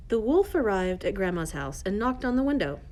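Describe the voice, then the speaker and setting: A woman's voice: mid-range voice, big pitch swings, fairly quick, darkish tone. A woman narrating a scripted fairy tale for children as the storyteller. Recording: faint background noise.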